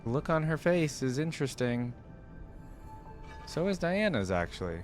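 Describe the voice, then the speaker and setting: A man's voice: fairly high pitch, very animated, normal speed, soft background music. A man hosting a reaction video, musing and thinking aloud in casual commentary.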